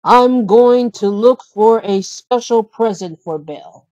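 Woman as young prince groom, storybook, earnest, emphatic, happy, mellow, unhurried. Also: a little high, no room sound, lo-fi sound. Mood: eager. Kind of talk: storybook character reading.

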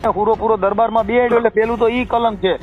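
A man's voice: high-pitched, steady tone, fairly quick, soft background music. A man with a Kathiawadi Gujarati accent arguing his opinion emphatically on a recorded phone call, repeating phrases for stress.

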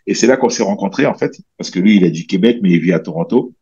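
A man in his thirties from French Guiana, chatting casually as an interview guest with a relaxed, rambling way of talking full of fillers and restarts. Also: sits low, quick pace, slightly rough. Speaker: guest, bodybuilder and coach. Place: small room at home, indoors. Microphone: headset on a video call. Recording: slightly dull video call audio.

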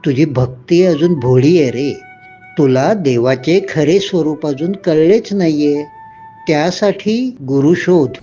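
A man's voice: fairly high pitch, slow, dark tone, faint music behind. A man playing a wise, kindly older potter saint, speaking in a fatherly, instructive way for a children's story, in slightly muffled sound. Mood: gentle, advising. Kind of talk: children's devotional story acting.

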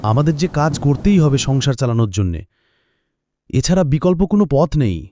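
A man's voice: medium pitch, lively intonation, moderate pace, mellow, no echo, lightly acted. A man playing a poor, anxious village fisherman in a cartoon, earnest and dramatic. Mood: weary, fearful. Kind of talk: cartoon voice acting.

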